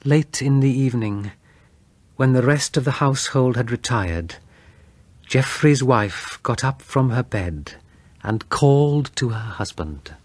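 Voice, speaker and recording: A male audiobook narrator delivers gothic horror in a grave, suspenseful manner. His voice is husky and breathy, and he takes his time over each phrase. The sound is dry, without any room echo. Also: mid-range voice, lively intonation, a little slow, darkish tone.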